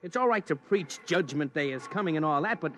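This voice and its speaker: A man's voice: a little high, lively intonation, fairly quick, fairly bright tone. An actor playing a blunt, dismissive ordinary working man who speaks colloquially in an old-time radio drama. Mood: incredulous. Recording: noisy old radio recording.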